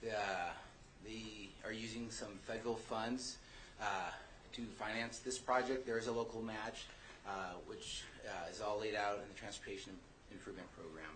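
Halting man briefing a city commission. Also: on the low side, steady tone, a bit bright, slight background noise, poor audio. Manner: halting, many fillers. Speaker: city transportation staff. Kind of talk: public meeting briefing. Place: public meeting chamber.

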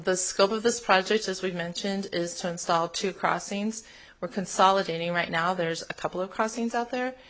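A woman with a boomy voice, a traffic safety engineer presenting a project at a public meeting in an echoey chamber, upbeat and chatty. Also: a bit bright.

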